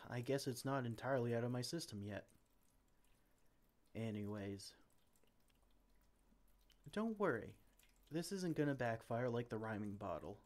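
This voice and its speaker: A man's voice: medium pitch, lively intonation, a little slow, long pauses, darkish tone, natural voice. A man playing a flustered, well-meaning potion-brewing mage in an ASMR roleplay, theatrical and exclamatory. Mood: relieved, sheepish. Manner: theatrical, exclamatory, speaks to a silent assistant.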